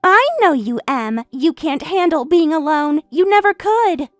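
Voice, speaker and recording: A catty young home-wrecker with a very high, bouncy voice that swoops up and down as she taunts and brags, played by a woman. The sound is dry and close, and faint music plays under it. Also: lively intonation, unhurried, dry recording. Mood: taunting. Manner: taunting, boastful, indignant. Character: smug, catty young woman who stole her best friend's husband. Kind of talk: voiced story drama.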